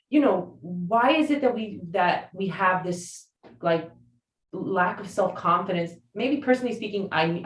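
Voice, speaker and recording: A woman podcast host whose first language is Spanish, speaking reflectively and casually with frequent fillers. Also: low voice. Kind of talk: conversational interview. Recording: roomy sound from a distance.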